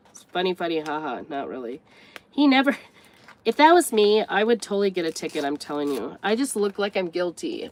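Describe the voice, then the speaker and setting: Congested grandmother chatting while crafting. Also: on the low side. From United States. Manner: chatty, rambling, thinks aloud while working. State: stuffed up, congested. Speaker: craft vlogger. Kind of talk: casual craft tutorial chat.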